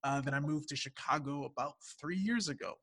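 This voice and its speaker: A man's voice: fairly high pitch, fairly quick, fairly bright tone, no room sound, studio quality. A man in his twenties from Louisiana, chatting casually as a guest about his path into theater, upbeat and laughing easily. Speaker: guest, marketing director. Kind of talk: casual interview chat.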